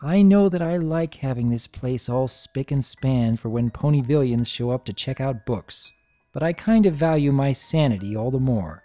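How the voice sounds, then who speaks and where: A storyteller voicing a caring female pony, gentle, teasing and motherly, with a coaxing sing-song manner. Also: mid-range voice, animated, normal speed, faint music behind, lightly acted. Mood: wry, tired.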